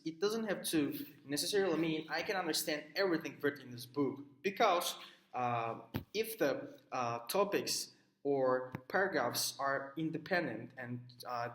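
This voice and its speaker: A man's voice: fairly high pitch, a bit bright, reverberant, poor audio. A man whose first language is Turkish, summarizing a reading assignment for a class video, with many fillers and restarts as he explains through personal examples. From Turkey. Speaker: student presenting a reading assignment.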